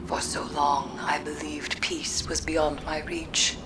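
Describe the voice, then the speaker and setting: An actress playing a solemn, haunted mystic in a video game, speaking in a grave, formal and measured way. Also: female voice, low voice, level tone. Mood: grave, reflective. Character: solemn, haunted mystic woman, weary and cryptic. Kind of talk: video game cutscene monologue. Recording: clear background ambient noise.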